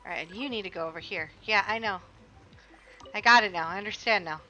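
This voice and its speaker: A woman streaming a game, chatting casually, swearing and reacting loudly. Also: medium pitch, fast talker, poor audio. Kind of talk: casual livestream gameplay chat.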